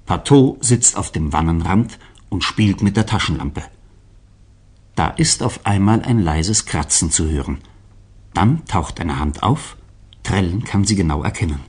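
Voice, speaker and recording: A male narrator reads a scripted radio drama. His voice is very low and husky, and his intonation rises and falls in a lively way as he sets each scene. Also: a little slow.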